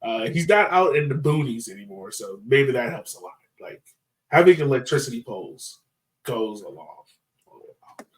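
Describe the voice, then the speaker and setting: A man's voice: mid-range voice, animated, normal speed, poor audio. A man hosting a casual sports podcast, talking in a chatty, informal way.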